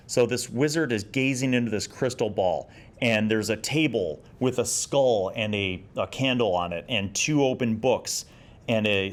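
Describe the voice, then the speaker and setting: Low-pitched American man, casual and nostalgic. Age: 40s. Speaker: host of a retro gaming video series. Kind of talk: casual nostalgic review vlog.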